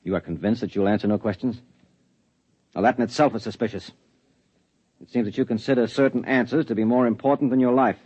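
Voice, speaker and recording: A man's voice: mid-range voice, fairly even intonation, fast talker, pauses often, a little mellow, slightly rough, natural voice. An actor playing a hard Earthman interrogating an alien in a 1950s radio drama, clipped and menacing. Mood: cold. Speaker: husband in a radio play. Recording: dull vintage radio recording.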